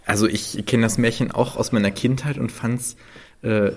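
A man casually discussing fairy tales on a podcast, halting as he thinks aloud. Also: medium pitch, fairly even intonation.

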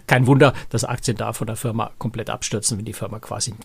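A man's voice: fairly low pitch, fairly even intonation, fast, vocal fry, darkish tone, slightly rough, no echo. A man co-hosting a podcast, explaining things in casual talk. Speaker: podcast co-host and cruise journalist.

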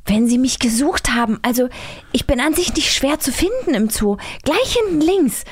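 A kind, naive storybook elephant, voiced by a woman, fairly high and very animated. He sounds innocent and a little puzzled, in the style of a children's radio play. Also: normal speed, breathy edge. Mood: innocent, friendly. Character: naive, kind, happy male talking cartoon elephant.

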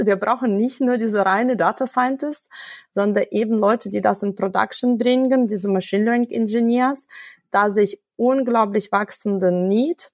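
A woman's voice: medium pitch, a little mellow, no room sound, clean studio sound. A woman, a data science expert explaining at length as a podcast guest, with frequent fillers and restarts. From Ukraine. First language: Russian. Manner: thoughtful, many fillers and restarts. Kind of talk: podcast interview.

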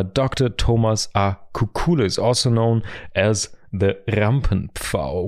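A man's voice: medium pitch, no echo. Cheery podcast host guy, talks to listeners, dry close mic. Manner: upbeat, warm sign-off, addresses listeners as sweeties. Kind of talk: podcast interview and outro.

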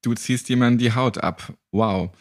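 A man's voice: mid-range voice, moderate pace. A man hosting a casual interview, reacting in a colloquial, jokey way with bits of wordplay. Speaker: interviewer, podcast host.

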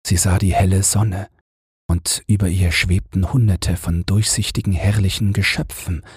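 A man narrating a bedtime fairy tale as an audiobook, in a calm, gentle and measured manner. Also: low-pitched, breathy edge, dry recording, clean studio sound.

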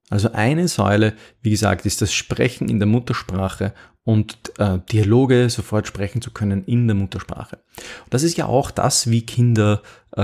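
A middle-aged Austrian man hosts a podcast, his lowish voice carrying a gravelly edge. He talks in a loose, chatty way, restarting sentences and tossing in rhetorical asides. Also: a little mellow. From Upper Austria. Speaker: podcast host. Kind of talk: casual podcast talk.

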